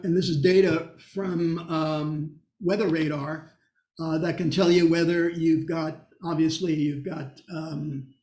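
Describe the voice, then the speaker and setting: Male lecturer, slightly high voice, halting explanatory delivery, echoey recording. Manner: halting, explanatory, with pauses mid-sentence. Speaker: presenter. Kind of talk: technical lecture with question and answer.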